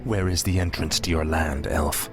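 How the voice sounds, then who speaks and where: An actor playing a cold, arrogant human prince turned death knight in a fantasy video game, speaking in a haughty, menacing, declamatory way. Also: male voice, rumbling low, fairly even intonation, normal speed. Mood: cold arrogance. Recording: loud music behind the voice.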